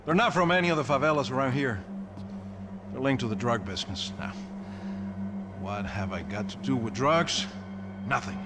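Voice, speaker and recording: An actor playing a wealthy businessman whose wife was kidnapped, speaking in a measured, defensive way. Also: mid-range voice, lively intonation, over music, lightly acted. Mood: guarded. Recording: game audio with loud background noise.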